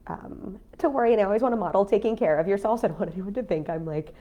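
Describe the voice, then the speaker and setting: A woman's voice: mid-range voice, rapid-fire, dull audio. Nasal, stuffy-voiced woman coach. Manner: chatty, frank, many fillers. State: congested from a cold, nasal. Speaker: business coach and podcast host. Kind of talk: casual live-stream talk.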